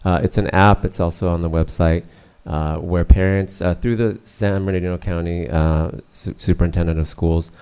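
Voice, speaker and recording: A middle-aged man with a monotone delivery giving a formal presentation to a school board, with frequent hesitations. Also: deep voice, normal speed, few pauses, clean tone. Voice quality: monotone, many uh fillers.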